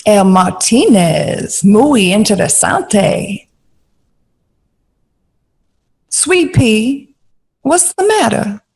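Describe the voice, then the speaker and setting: Casting: glamorous sixties confidante, tender and coaxing, unhurried, slightly husky higher voice. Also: female voice, animated, a little mellow, heavily acted. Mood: teasing concern. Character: glamorous, tender 1960s woman, composer's close confidante. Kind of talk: audio drama acting.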